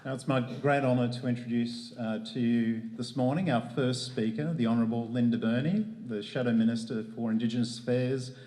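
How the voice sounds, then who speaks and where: A man's voice: mid-range voice, fairly even intonation, fairly quick, few pauses, warm tone, slight background noise. An Australian man hosting a community event, speaking with many hesitations and restarts. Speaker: event host. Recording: echoey hall.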